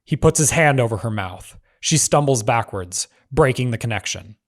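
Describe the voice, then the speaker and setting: A man narrating screenplay stage directions in measured, clipped phrases. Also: mid-range voice, animated.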